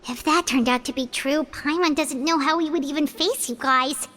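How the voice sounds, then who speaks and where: A woman's voice: sits high, normal speed, fairly bright tone, slight background noise. A woman voicing a small, childlike, chatty fairy companion in a video game, chirpy and easily worried. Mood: uneasy. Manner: refers to self in third person, chirpy. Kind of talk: video game character dialogue.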